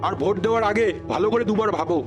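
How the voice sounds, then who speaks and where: A man's voice: high-pitched, racing, natural voice. A man voicing an outspoken village uncle in a comedy cartoon, plain-spoken and exhorting as he rallies people. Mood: rallying. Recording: loud music behind the voice.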